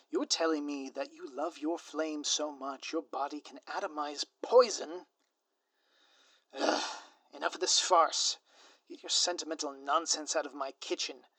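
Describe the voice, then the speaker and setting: A man's voice: fairly high pitch, fairly bright tone, dry recording. A man playing a grandiose, scheming supervillain in an audio roleplay, with florid, pompous, melodramatic delivery. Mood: incredulous, exasperated. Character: grandiose theatrical male supervillain, lovesick and scheming. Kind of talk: scripted audio roleplay monologue.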